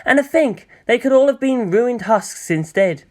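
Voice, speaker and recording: A narrator reading fan fiction aloud, changing pitch and pace for emphasis and voicing the characters. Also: animated, normal speed.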